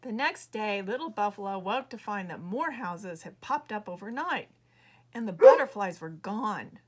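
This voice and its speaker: A woman reading her own children's book aloud as a measured, expressive storyteller. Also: mid-range voice, noisy background. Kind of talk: storybook narration read aloud.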